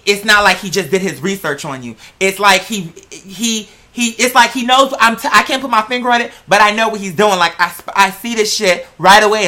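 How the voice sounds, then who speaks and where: A woman with a bright, forceful voice at a middling pitch delivers casual reaction commentary. She is blunt and skeptical, leaning hard on her points and swearing freely as she hosts. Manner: blunt, skeptical, profane, emphatic. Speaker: host of a reaction video.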